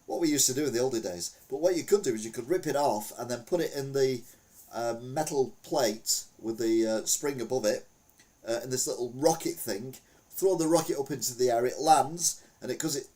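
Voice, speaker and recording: A British man in the host's chair, reading oddball news stories and cracking wry jokes between them. He rambles, restarts and fills gaps with "uh", at a middling pitch, sitting a little back from the mic. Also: dark tone, recorded from a distance. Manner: wry, jokey, rambling with many fillers. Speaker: podcast host. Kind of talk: casual news commentary.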